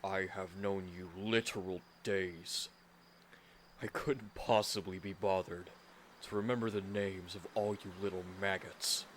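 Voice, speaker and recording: Man playing a pompous, menacing inhuman male villain, low-voiced. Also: fairly even intonation, measured pace, slight background noise. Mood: haughty, irritated. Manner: pompous, contemptuous, formal. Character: haughty, menacing inhuman male villain, disembodied head in a jar.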